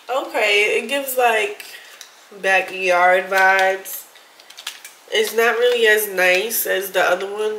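A woman's voice: mid-range voice, noisy background. Stuffy-nosed woman chatting in an echoey room. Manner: chatty, addresses viewers as y'all. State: stuffy nose. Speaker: vlogger. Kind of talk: casual haul vlog. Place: her apartment, indoors.

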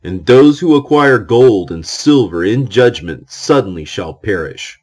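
A man reads ancient scripture as a solemn audiobook. His voice sits on the low side and moves very slowly, with deliberate pauses between phrases. The delivery is declamatory, rising and falling widely in pitch. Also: animated, a little mellow.

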